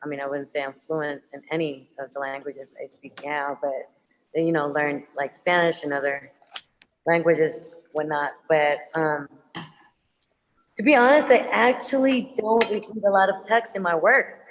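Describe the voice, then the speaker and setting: A woman's voice: deep voice, steady tone, a little slow, in a large hall, a little hiss or hum, poor audio. A woman whose first language is Tagalog, an artist answering an audience question on a panel, hesitant and full of ums and restarts. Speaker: muralist, visual artist panelist.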